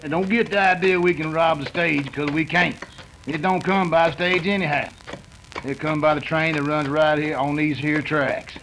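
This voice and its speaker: Guy playing a skeptical cowboy outlaw, twang, high voice, old radio drama, bit of noise. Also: steady tone, moderate pace, a bit bright, low-quality recording. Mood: dismissive. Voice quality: rustic cowboy speech. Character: gruff, skeptical male western outlaw. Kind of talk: old-time radio western acting.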